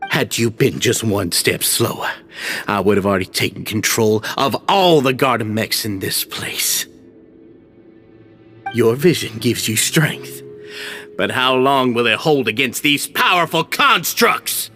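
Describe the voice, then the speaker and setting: A sneering, shrill prison villain. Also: male voice, expressive, measured pace, faint music behind. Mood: menacing. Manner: sneering then shouting in panic. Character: cruel, frantic male prison guard villain. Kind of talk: video game dub.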